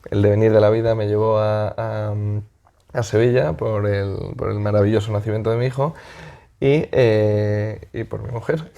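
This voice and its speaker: A man from Madrid with a Spain accent, answering as a political interview guest in a halting, conversational way, searching for words. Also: fairly low pitch, gravelly, dark tone.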